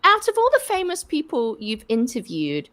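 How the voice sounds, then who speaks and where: A woman co-hosting a live online talk show, asking a guest quick-fire questions over a video call. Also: fairly high pitch, huge pitch swings, a bit bright. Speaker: show co-host.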